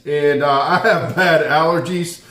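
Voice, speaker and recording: A man's voice: a little high, a little slow, bright, forceful tone, roomy sound. A man with a Southern American accent hosting a casual home barbecue tutorial, easygoing and folksy as he talks straight to viewers. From Southern United States. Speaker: barbecue channel host. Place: home kitchen, then outdoor grill area.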